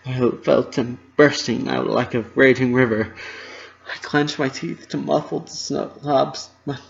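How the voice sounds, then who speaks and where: A man's voice: mid-range voice, fairly even intonation, unhurried, vocal fry. A man narrating a story as an earnest, emotive dramatic reading, on a dull recording. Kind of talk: dramatic reading of fan fiction.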